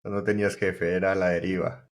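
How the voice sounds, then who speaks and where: A man's voice: low voice, level tone, moderate pace. A man hosting a podcast, chiming in with short reactions and agreeing comments. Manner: brief reactions and agreeing interjections. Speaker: podcast host. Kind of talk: casual interview backchannel.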